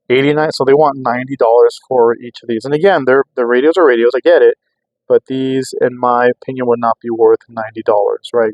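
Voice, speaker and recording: A man's voice: mid-range voice, no echo, muffled recording. An American man talking casually and off the cuff as a vlogger testing gear, rambling and thinking aloud. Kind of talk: casual unscripted vlog narration. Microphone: clip-on microphone.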